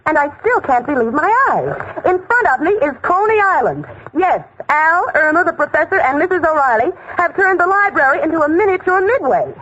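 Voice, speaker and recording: Wry exasperated young woman, really high animated voice, 1940s radio comedy, dramatic storytelling. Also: a little fast, few pauses. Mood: wry, incredulous. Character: wry, exasperated young woman narrating her friends' antics. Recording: noisy, muffled 1940s radio recording.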